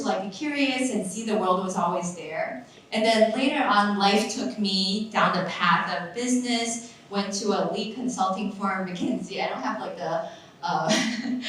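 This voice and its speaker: A woman in her thirties from Taiwan giving a chatty conference talk, full of fillers and restarts. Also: medium pitch. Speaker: summit speaker, nonprofit founder. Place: large echoey conference hall. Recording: echoey hall, voice from far off.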